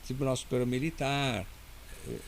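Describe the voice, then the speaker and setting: Brazilian lawyer explaining things patiently. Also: male voice, medium pitch, moderate pace, a little hiss or hum. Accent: Brazilian Portuguese. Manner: didactic, explains step by step with many "né?". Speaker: lawyer guest. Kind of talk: casual interview talk.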